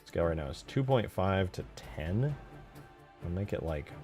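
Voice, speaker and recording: A man giving casual, low-key commentary as he works on a game mod, muttering to himself as he thinks aloud. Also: animated, normal speed, darkish tone. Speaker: game modder. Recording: music behind the voice. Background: clear background music.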